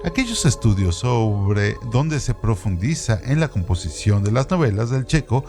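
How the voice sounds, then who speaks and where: A man co-host giving a measured, deliberate scripted reading. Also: on the low side, very animated. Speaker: co-host reading trivia segment. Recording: music playing underneath. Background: background music.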